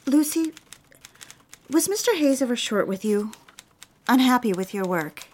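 An actress playing a sincere, quietly stubborn young secretary in an audio drama. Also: female voice, a little high, expressive, a little slow, a little hiss or hum. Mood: troubled. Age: young adult. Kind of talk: audio drama acting and narration.

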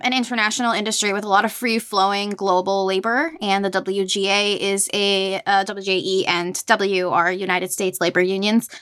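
A woman's voice: medium pitch, bright, forceful tone, dry recording, clean studio sound. A woman hosting a podcast interview, putting questions to guests in a conversational style.

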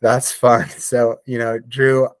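A man's voice: medium pitch, flat delivery, slow, dry recording. A man hosting an interview, asking friendly questions in an upbeat, encouraging way. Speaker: podcast host, interviewer.

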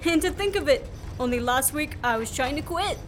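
A woman acting in a radio play as a quick-witted, sardonic man on the run, with clipped banter. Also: high voice, expressive. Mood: wry, indignant. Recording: noisy student radio play recording.

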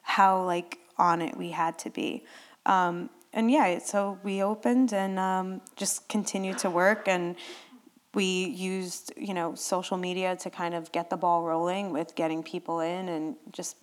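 A woman's voice: on the low side, fairly even intonation, unhurried. A woman talking candidly as a guest on an entrepreneurs' panel, chatty and down to earth. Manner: candid, frugal-minded, talkative. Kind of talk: panel discussion storytelling.

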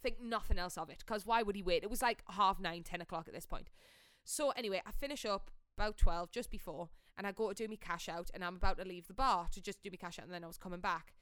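A young woman telling a story in casual podcast chat, animated, blunt and salty-tongued. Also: medium pitch, fast, few pauses, clean tone, no echo. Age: young adult. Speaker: podcast co-host.

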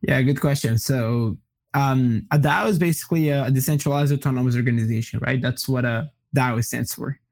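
A young man from Colombia, a native Spanish speaker, answering interview questions in a relaxed way with many fillers and restarts. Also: medium pitch, brisk. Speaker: guest. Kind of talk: casual interview.

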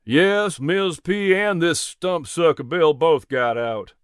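A man playing a gruff but amiable male horse owner in a storybook. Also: fairly high pitch, slow, dry recording, clean studio sound. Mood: relieved, amiable.

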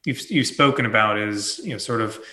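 A male host poses polite, slightly tentative questions, doubling words as he works toward his point. His tone is bright and forward. The room around him rings with noticeable echo. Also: fairly low pitch, a little fast. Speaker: interviewer, host. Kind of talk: podcast interview.

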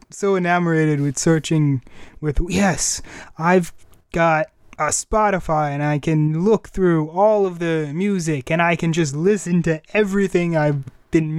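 Higher-voiced man hosting a casual podcast. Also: smooth, no room sound, studio quality. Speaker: podcast host. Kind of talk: casual music and anime chat.

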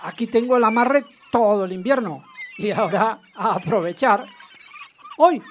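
A man's voice: sits high, animated, normal speed. An actor playing a chatty, boastful man in his eighties, a retired financier and yachtsman calling a radio show. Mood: cheerful, chatty. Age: 80s. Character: spry, chatty 82-year-old widowed retired financier and yachtsman. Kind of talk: radio comedy drama, phone-in caller. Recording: faint noise, harbour gulls behind.

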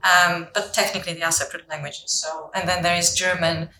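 A Czech-born woman guesting on a podcast, her voice bright and clear. She talks in a light, self-deprecating way and laughs easily. The room is echoey, with a faint hiss behind. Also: fairly low pitch, level tone, a little fast. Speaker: guest, senior associate lawyer. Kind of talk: casual podcast chat.

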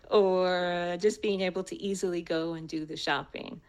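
A woman's voice: on the low side, fairly even intonation, measured pace, clean tone. An American woman telling her personal story as a speaker, in a prepared but conversational way. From United States.

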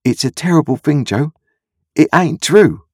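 A man's voice: on the high side, animated, unhurried, slightly rough, no room sound, clean studio sound, pitched above the performer's own voice. A man voicing a humble, miserable young country boy with an earnest, confessing manner. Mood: guilty, miserable.

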